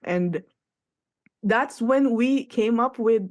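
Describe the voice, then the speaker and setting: A woman's voice: on the high side, slow pace. Chatty, reflective woman radio host. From Philippines. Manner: reflective, loose and chatty, laughs often. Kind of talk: casual radio conversation.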